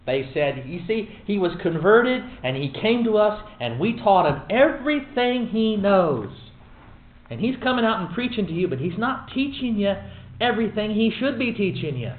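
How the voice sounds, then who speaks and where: A man teaches scripture with a lively, swooping delivery, leaning hard on his points and throwing in wry asides. His voice sits a bit high for a man. It comes through a narrow, phone-like line in an echoey room. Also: moderate pace. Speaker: Bible teacher. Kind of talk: animated Bible study teaching.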